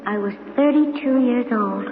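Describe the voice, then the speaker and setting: A woman playing the aloof, unforgiving ghost of a murdered woman in a radio drama, measured and haunting. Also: a little high, natural voice. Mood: cold, accusing. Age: 30s. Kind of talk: 1970s radio drama acting. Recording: old radio, echo, music underneath, muffled.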